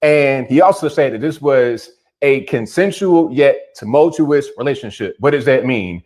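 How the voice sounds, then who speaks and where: A man's voice: mid-range voice, lively intonation, normal speed, a little mellow. A man giving opinionated commentary on a news story, talking bluntly and directly. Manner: direct, addresses subjects bluntly.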